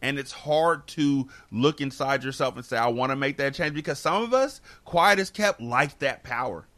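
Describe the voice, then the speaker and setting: A man's voice: medium pitch, animated, normal speed, a bit bright. Reflective man hosting a podcast. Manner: reflective, earnest, with restarts. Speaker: podcast host. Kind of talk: casual podcast discussion.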